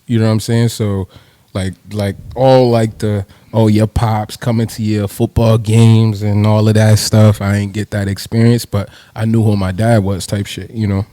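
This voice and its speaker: Laid-back young rapper guest, dark low voice, dry close studio sound, slangy and profane. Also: male voice, flat delivery, normal speed, dry recording. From New Jersey, USA. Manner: laid-back slangy, swears freely, laughs. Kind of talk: casual interview chat.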